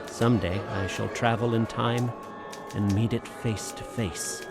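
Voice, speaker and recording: A slow, haunted, grave scholar. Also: male voice, on the low side, steady tone, gravelly, over loud music. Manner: slow, grave, dramatic recitation. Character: haunted, grave, learned man, friend of the victim. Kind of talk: radio drama acting.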